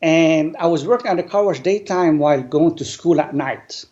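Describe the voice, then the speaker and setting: A man, an English speaker, telling his life story earnestly and deliberately as an interview guest. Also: a little high, darkish tone. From Lebanon. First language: not English. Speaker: guest, business owner. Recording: dull lo-fi sound.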